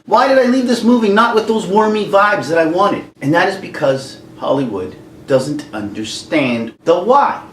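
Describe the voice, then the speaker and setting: A man hosting a casual rant to camera, jokey and animated, now and then slipping into silly singing. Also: sits high, normal speed. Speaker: YouTube host. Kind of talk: ranting commentary to camera. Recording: echoey room, mic at a distance, faint background noise.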